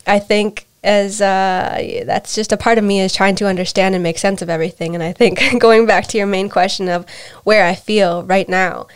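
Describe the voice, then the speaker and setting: A woman's voice: medium pitch, steady tone, normal speed, hardly any pauses, smooth. A young adult woman with an American accent, speaking candidly and introspectively in a reflective conversation, often repeating phrases as she searches for words. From United States. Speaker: interview guest, adoptee.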